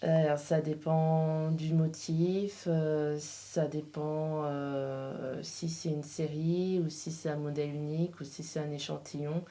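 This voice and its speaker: Low, mellow, hesitant woman's voice. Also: flat delivery, takes it slowly, hardly any pauses. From Portugal. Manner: hesitant, searching for words. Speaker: interview guest, freelance embroiderer. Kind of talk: casual studio interview, answering questions.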